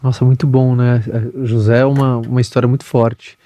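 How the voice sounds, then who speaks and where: A man's voice: mid-range voice, level tone, fairly quick, dark tone, no room sound. A Brazilian man chatting casually as the host of an interview podcast.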